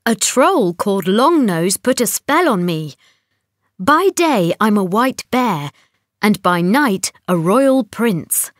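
A woman's voice: medium pitch, very animated, slow, no echo, clean studio sound. A woman voicing an anguished young prince in a storybook, groaning and sorrowful. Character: anguished young royal prince under a troll's spell.

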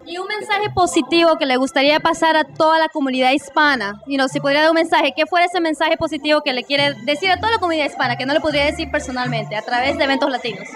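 Young female interviewer, very high voice, faint music behind. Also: fast talker, hardly any pauses, a bit bright. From Peru. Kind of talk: casual event interview. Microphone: handheld interview microphone.

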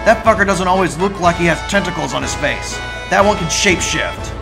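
A man's voice: sits high, animated, fairly quick, creaky. A man voicing cartoon characters, a cynical old scientist and his anxious teenage grandson, in an audio drama. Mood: cynical, dismissive. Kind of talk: fan-made cartoon audio drama acting. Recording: music and crowd behind the voice.

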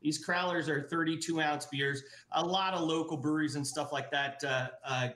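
A man's voice: fairly high pitch, steady tone, moderate pace, fairly bright tone. A middle-aged man in a casual video-call interview, talking about his brewery with lots of fillers and restarts. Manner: enthusiastic, many fillers and restarts. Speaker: brewery guest. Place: indoor office room. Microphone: video call webcam. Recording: roomy webcam audio.

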